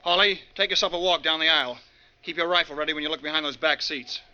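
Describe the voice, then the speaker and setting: A man's voice: on the high side, fairly even intonation, quick pace, bright, forceful tone. An American actor playing a stern male asylum guard in 1940s radio drama style, clipped and official. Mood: businesslike. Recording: muffled 1940s radio recording.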